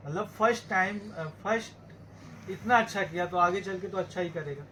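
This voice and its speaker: A man, a director, answering informally at a press event in halting, broken phrases. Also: high-pitched, pushed and bright. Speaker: music video director. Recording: noisy event room.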